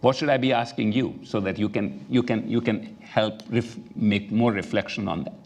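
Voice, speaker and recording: Stage host: older man, low register, informal interviewer. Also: steady tone, normal speed. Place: auditorium stage before an audience.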